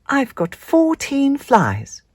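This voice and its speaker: A storybook narrator voicing a small, eager young female spider with an excitable, childlike way of talking. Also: high-pitched, extremely slow, dry recording, clean studio sound, voice pushed up, exaggerated voice.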